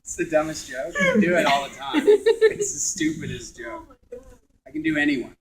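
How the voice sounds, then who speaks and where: A man's voice: extremely high pitch, wildly swooping pitch, moderate pace, vocal fry. A middle-aged man, a voice actor guest, chatting at a convention panel in a joking, self-mocking way and laughing often.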